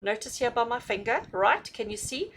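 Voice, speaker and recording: A woman's voice: a little high, very animated, moderate pace, a bit bright, slight background noise, low-quality recording. A woman giving a conversational tarot reading to viewers, emphatically repeating and rephrasing her points and checking in with questions. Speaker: tarot reader hosting a reading.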